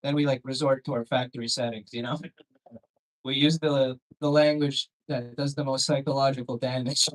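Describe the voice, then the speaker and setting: A man's voice: mid-range voice, steady tone, moderate pace, poor audio. A Canadian man performing stand-up in a comedy club, rambling and conversational, with sardonic asides, swearing and crowd work.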